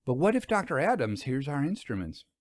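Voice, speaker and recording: A man's voice: medium pitch, sing-song, a little fast, dry recording. Man reading as earnest, anxious woman companion. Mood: worried. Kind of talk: audiobook character dialogue.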